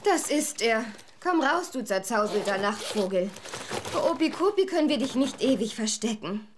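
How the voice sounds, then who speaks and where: A woman's voice: a little high, expressive, moderate pace, background noise, close to an everyday voice. A woman playing an earnest, conscientious young girl in a children's audio drama. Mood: resigned.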